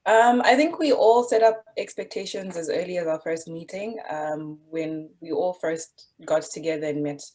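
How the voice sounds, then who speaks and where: A woman's voice: on the low side, normal speed, hardly any pauses, darkish tone. A young woman student from Zimbabwe answering interview questions articulately, with frequent ums, over a video call. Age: young adult.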